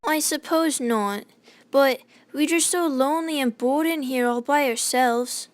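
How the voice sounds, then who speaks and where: A woman's voice: sits high, a little slow, no echo. A woman voicing a lonely, curious little girl in a radio drama, childlike and pleading. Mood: wistful.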